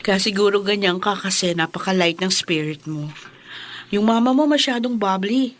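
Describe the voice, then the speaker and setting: A woman's voice: mid-range voice, moderate pace, a little hiss or hum, close to an everyday voice. An actress playing a polite, amused young girlfriend in a Filipino radio drama, with a Filipino accent. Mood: sweet, admiring.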